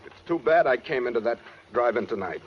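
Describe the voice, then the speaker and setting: A menacing American killer played by a man speaks in terse, fatalistic phrases, his mid-range pitch moving widely. The old radio drama recording is dull, with faint noise. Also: animated, a little fast, close to an everyday voice. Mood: grim, regretful. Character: menacing, brooding male killer on the run. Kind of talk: 1940s radio drama acting.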